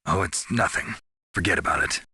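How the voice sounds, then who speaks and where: A man playing a gruff, stoic, battle-hardened soldier in a video game, with a gravelly, husky voice and a terse, grim manner. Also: sits low, moderate pace, pushed and bright, exaggerated voice. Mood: dry, probing.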